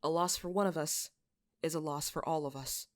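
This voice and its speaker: A woman's voice: low voice, fairly even intonation, moderate pace, dry recording, clean studio sound, close to an everyday voice. Solemn, weary young lord voiced by a woman. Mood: remorseful. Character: earnest, remorseful young male lord, dutiful and weary.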